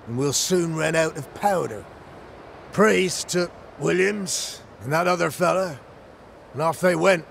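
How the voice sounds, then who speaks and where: High-pitched, wry fort sentry. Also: male voice, vocal fry, a little hiss or hum, full character voice. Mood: grim. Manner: wry, folksy, old-fashioned turns of phrase. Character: chatty, wary male fort sentry, stubbornly dutiful. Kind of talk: dramatic video game dialogue.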